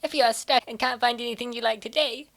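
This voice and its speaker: A woman as breezy college girl, high voice, food-loving, playful, comic-dub acting. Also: fairly even intonation, fast talker, vocal fry, a bit bright. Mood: easygoing.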